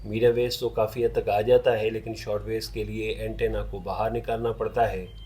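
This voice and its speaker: A man's voice: on the low side, flat delivery, fairly quick, warm tone. A man giving a casual, conversational product review as a hobbyist reviewer. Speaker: vintage radio reviewer.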